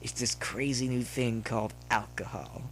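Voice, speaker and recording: A man's voice: medium pitch, fairly even intonation, normal speed, slight background noise, lightly acted. A man voicing a sarcastic, amused young male pony in a storybook reading. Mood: teasing, sarcastic. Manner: sarcastic, teasing.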